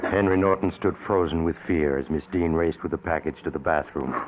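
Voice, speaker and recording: A man's voice: rumbling low, a little fast. A man narrating a suspense tale in a grave, dramatic vintage radio style. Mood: tense. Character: grave male narrator of mystery tales. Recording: noisy, tinny old radio recording.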